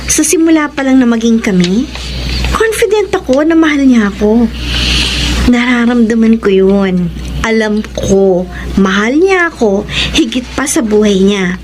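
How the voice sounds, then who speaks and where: An actress playing a young woman in love, playful and expressive, in a Filipino radio drama. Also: fairly high pitch, measured pace, noisy background. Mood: defensive, confiding. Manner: expressive, teasing, swooping exclamations. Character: young woman in love, playful with her lovelorn male friend.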